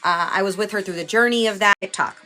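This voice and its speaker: Bright-voiced woman hosting a livestream. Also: mid-range voice, fast, faint music behind, poor audio. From Houston, Texas. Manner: chatty, greets viewers by name. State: stuffy nose from a cold. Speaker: livestream host. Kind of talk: casual livestream chat.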